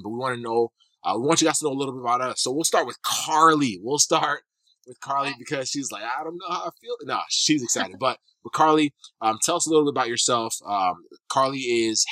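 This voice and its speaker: A man's voice: medium pitch, pushed and bright, no echo, low-quality recording. A man from Kenya hosting a casual podcast as a physical therapist, talking loosely and eagerly with many restarts.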